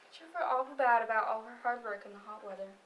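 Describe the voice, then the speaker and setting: A woman's voice: fairly high pitch, normal speed, fairly bright tone, lo-fi sound, natural voice. A woman acting in a stage play as a blunt, defensive farm wife with a dry, folksy manner. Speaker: stage actor. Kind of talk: amateur stage play dialogue. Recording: distant microphone, noticeable room noise.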